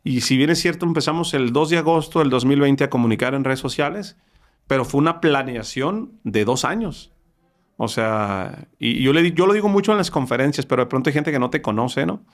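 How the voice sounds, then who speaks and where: Energetic Mexican podcast host, studio mic. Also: male voice, medium pitch, brisk. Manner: energetic, gestures with hands, frequent restarts. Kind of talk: podcast interview. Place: studio with wood-paneled wall. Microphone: studio mic on boom arm.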